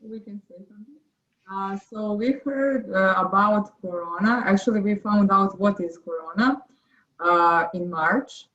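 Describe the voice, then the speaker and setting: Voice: medium pitch, sharp tone. Distant young student on a muffled call. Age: young adult. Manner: halting, simple phrasing. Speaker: student participant. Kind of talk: answering a seminar question. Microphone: video call. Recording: distant, dull video call audio.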